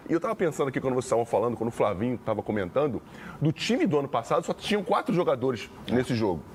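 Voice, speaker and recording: A man with a Brazilian Portuguese accent, a panelist explaining his view in a measured way in a casual football debate. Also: medium pitch, sing-song, a little hiss or hum. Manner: measured, explanatory, drawn-out words. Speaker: sports panelist.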